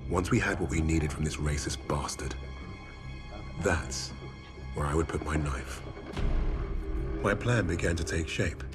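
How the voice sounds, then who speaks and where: A wartime Allied lieutenant with a very low voice. He is calm, calculating and resolute, like a team leader in a cinematic war game. Clear music plays behind him. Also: measured pace, pauses often. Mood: grim, determined. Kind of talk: scripted cinematic game narration.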